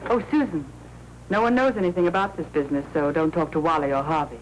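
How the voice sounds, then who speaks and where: An actress playing a brisk, sardonic American newspaperwoman with dry, clipped delivery in a 1940s crime film. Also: low voice, lightly acted. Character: sardonic, brisk working newspaperwoman, cool and impatient. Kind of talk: 1940s Hollywood film acting. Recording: noisy old 1940s film soundtrack.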